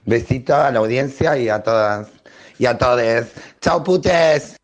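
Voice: mid-range voice, takes it slowly, music in the background. A speaker with a voice in the male range, leaving an affectionate, hesitant, casual greeting as a voice message. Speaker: show regular sending greetings. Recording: dull lo-fi voice message.

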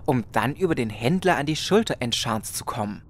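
A man's voice: mid-range voice, animated, brisk, close to an everyday voice. Man playing a servile, lilting fantasy squire. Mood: deferential, dry. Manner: polite, servile, dry. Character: deferential, earnest male squire-like adventurer, drily sarcastic. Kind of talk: comedic audio drama acting.

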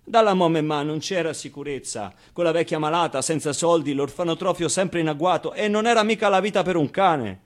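A man playing a candid, streetwise orphan boy, higher-pitched. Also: natural voice. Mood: defiant, emotional. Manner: halting, confiding, colloquial, with small interjections. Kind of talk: theatrical monologue, storytelling to the audience.

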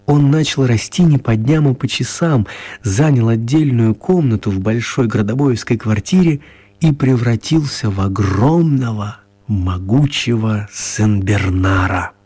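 A male narrator for a children's radio play, his voice a little husky and mid-pitched. He tells the story in a measured, expressive manner with gentle humour.

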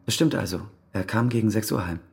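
A probing male detective in a radio crime play. His voice is gravelly and strongly rasping, and he puts formal, insistent questions at a quick clip. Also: lowish voice, a little mellow. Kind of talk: radio crime drama acting.

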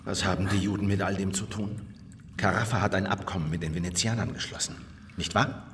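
An actor playing a hardened, determined old rebel in a German radio drama, speaking in a terse, intense and probing way. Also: male voice, low voice, steady tone, fairly quick, a little hiss or hum, natural voice. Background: music.

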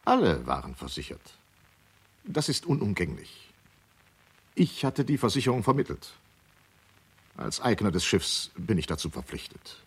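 A man's voice: on the low side, big pitch swings, moderate pace, gravelly, pauses often, husky, heavily acted. A man in a radio play, playing an insistent insurance agent who argues his points. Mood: businesslike. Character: insistent male insurance agent, questioning, justifying himself.

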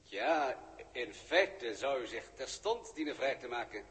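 A servile alien registrar. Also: male voice, medium pitch, lively intonation, measured pace, fairly bright tone. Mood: polite. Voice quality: polite, servile, formal. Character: deferential alien field registrar, adult man. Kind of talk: 1960s radio drama acting. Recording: reverberant early 1960s radio play.

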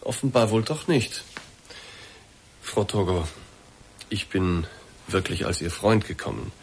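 An actor in a German radio play voicing a formal, persistent male boss, polite, measured and insistent. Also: deep voice, expressive, gravelly, a little hiss or hum, full character voice. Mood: grave, concerned.

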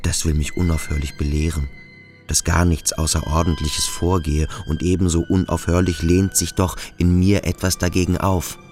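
A male actor playing a brooding, introspective schoolboy who questions himself slowly and deliberately in a literary radio drama. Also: low-pitched, little pitch movement, darkish tone, soft background music, lightly acted. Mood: brooding, uneasy. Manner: slow, deliberate, self-questioning. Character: brooding, introspective schoolboy pondering his own strangeness.

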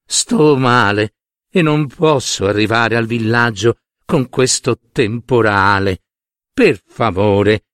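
A man voicing a frail, sick old beggar woman who pleads slowly in a weak, chilling voice. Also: medium pitch, fairly bright tone, dry recording, studio quality. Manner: slow, imploring.

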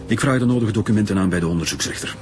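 An actor as a senior male police chief in a Flemish radio serial. He gives orders formally at a fast pace, in a fairly low voice with a Flemish accent. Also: soft background music, close to an everyday voice. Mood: authoritative. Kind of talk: naturalistic radio drama acting.

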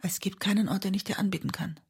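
A woman's voice: mid-range voice, steady tone, fast, darkish tone, husky. An actress playing a weary, intense woman lover in a radio drama, speaking intimately and often close to a whisper. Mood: weary, troubled. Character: weary, intense woman lover, narrating and confronting her partner. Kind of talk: radio drama acting and literary narration.

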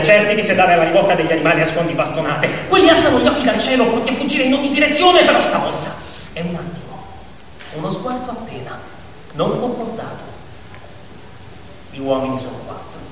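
A man narrating a fable as a theatrical dramatic monologue, with emphatic build-ups and pauses. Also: high-pitched, a bit bright, a little hiss or hum. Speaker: actor and storyteller. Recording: echoey, distant reverberant hall with music.

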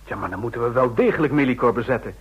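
Husky, hawkish government official. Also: male voice, medium pitch, lively intonation, very fast. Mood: brisk, insistent. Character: brisk, hawkish government man at a war council. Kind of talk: old radio drama acting. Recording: muffled old radio play recording.

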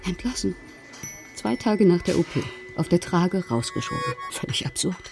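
Hesitant hospital assistant, highish voice, clipped answers, radio play with music behind. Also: male voice, lively intonation, normal speed, vocal fry. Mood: puzzled. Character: hesitant hospital assistant recalling his vanished boss.

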